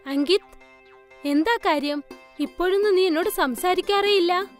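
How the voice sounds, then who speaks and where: A woman voicing the mothers and girls in a cartoon story, speaking in a clear, coaxing way. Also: very high-pitched, normal speed, faint music behind. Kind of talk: cartoon voice acting.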